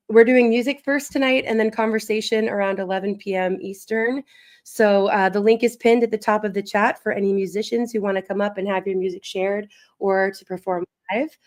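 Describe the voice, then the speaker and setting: A woman chats in an unhurried way as host of a livestream. Her voice is hoarse and raspy, with a slight wheeze left over from being sick. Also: medium pitch. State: hoarse, recovering from illness. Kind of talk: casual welcome chat on a livestream.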